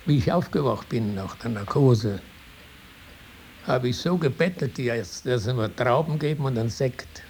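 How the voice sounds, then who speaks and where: An older man with a Tyrolean Austrian accent, recalling his life in spontaneous, halting, rambling talk. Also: medium pitch, a little mellow, low-quality recording. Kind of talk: spontaneous oral reminiscence. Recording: hissy archival interview tape.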